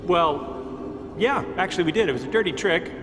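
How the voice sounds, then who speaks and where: Lively, wisecracking street rogue. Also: male voice, sits high, normal speed. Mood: breezy explaining. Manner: flippant, casual, excitable. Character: glib, wisecracking male street-wise rogue. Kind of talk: video game cutscene voice acting. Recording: echoey hall, loud music behind.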